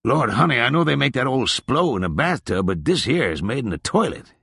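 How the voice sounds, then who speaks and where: A worn-out old barfly, as a male reader puts him on, drawling in rustic dialect and pitched well above the reader's low voice. He sounds weary and wry, with a lively, sing-song lift and a close, dry sound. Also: a little fast, vocal fry, breathy edge, no echo, full character voice. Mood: grumbling. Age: older. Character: aging Southern drinker, weary and wry male.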